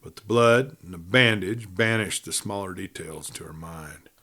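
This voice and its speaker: A man narrating a scripted western story in a measured, dramatic manner. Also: low-pitched, sharp tone. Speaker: audiobook narrator.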